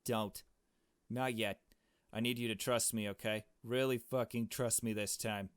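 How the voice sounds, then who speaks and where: A man's voice: on the low side, steady tone, unhurried, smooth, no room sound, close to an everyday voice. A man voicing a brash, foul-mouthed, take-charge young woman in a visual novel, talking bluntly and in slang. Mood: urgent, bossy.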